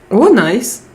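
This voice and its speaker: A woman with a Russian accent chatting casually on a live stream, reading out viewer comments and hunting for English words with frequent pauses. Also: a little high, a little mellow. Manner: slow, searching for English words with frequent hesitations.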